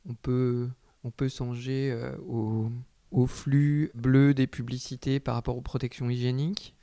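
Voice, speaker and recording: Low creaky man, hesitant artist. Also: flat delivery, measured pace, dark tone, no room sound. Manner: hesitant, searching for words. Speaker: textile artist guest. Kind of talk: reflective interview answers.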